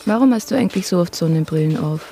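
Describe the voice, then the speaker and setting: An actress playing a weary, candid former group member in a naturalistic radio drama. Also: female voice, lowish voice, lively intonation, fast talker, warm tone, soft background music. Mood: subdued, candid.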